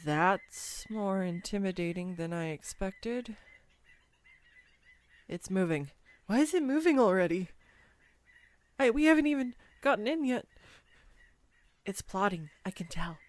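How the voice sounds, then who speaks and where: Casting: actress as excitable, clumsy young woman on a first date, roleplay audio; teasing, hesitant, slow-paced, swooping intonation. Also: medium pitch, natural voice. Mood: flustered. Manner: bubbly, hesitant, playfully teasing, expressive. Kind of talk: scripted girlfriend roleplay audio, intimate one-sided dialogue.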